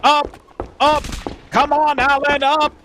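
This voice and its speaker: Man acting a high-voiced, defiant young rebel. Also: flat delivery, takes it slowly, pushed and bright, slight background noise, full character voice. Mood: urgent. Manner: bold, dramatic, scornful. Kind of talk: sci-fi radio play acting.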